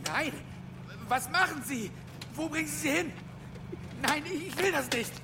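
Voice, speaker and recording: Distraught, very high-pitched grieving man. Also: a little slow, background noise. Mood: desperate, protesting. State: upset, near crying. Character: distraught bereaved fiancé, desperate and pleading. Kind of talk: German radio crime drama acting.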